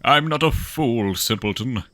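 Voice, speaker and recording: Pompous, forceful corporate executive played by a man. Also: medium pitch, expressive, unhurried, no room sound. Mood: smug, condescending. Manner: haughty, condescending, formal wording. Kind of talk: sci-fi audio drama acting.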